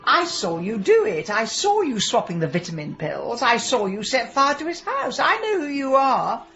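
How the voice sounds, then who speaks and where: A determined, impulsive woman sleuth in middle age, sharp-tongued and insistent. Her delivery swings animatedly through a radio drama, with music around it and a slightly dull recorded sound. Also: fairly high pitch, very animated. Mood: accusing. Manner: brisk, insistent, argumentative.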